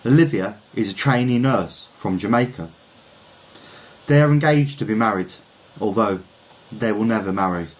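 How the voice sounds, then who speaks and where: A man from Birmingham, England, reading a literary short story aloud in a measured, reflective manner. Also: lowish voice, animated, low-quality recording. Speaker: story reader.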